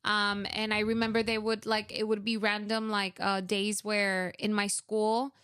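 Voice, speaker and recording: Young woman, smooth clear voice, dry close sound, chatty with lots of fillers. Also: mid-range voice, little pitch movement, measured pace, a bit bright, no echo. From Venezuela. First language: Spanish. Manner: chatty, many fillers, acts out her own past thoughts. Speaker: guest. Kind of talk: casual interview storytelling.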